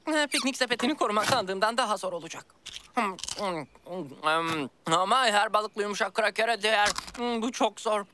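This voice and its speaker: A woman voicing a fussy, self-important, food-loving pet cat in an animated series, theatrical and muttering to itself. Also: mid-range voice, moderate pace, noisy background, lightly acted. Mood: grumbling. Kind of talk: animated children's series dub.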